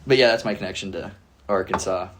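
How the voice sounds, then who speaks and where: Laid-back young male guest, slightly low voice, loose rambling conversational delivery. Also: fast, background noise. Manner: laid-back, rambling, says "like" and "dude" often. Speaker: podcast guest. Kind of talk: casual conversation.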